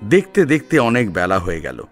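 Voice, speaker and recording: A man with a lowish voice reads a dramatic story as its narrator. His intonation rises and falls widely and expressively, and light music plays behind him. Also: huge pitch swings, normal speed. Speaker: narrator and co-presenter.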